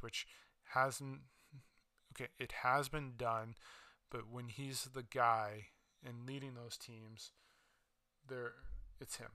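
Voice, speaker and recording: A man's voice: medium pitch, bright, forceful tone, dry recording. A man hosting a solo sports podcast, talking casually with many fillers and restarts, his voice slightly worn. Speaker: podcast host.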